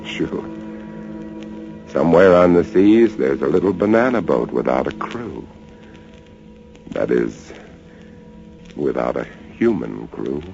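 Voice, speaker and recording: A haunted lighthouse keeper, slow dramatic storytelling, dull old radio sound with loud music behind. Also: male voice, rumbling low, sing-song, gravelly, exaggerated voice. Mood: wry, ominous. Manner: dramatic, halting, suspenseful storytelling. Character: haunted lighthouse keeper, a man, recounting a rat siege. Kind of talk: 1940s-50s radio drama narration.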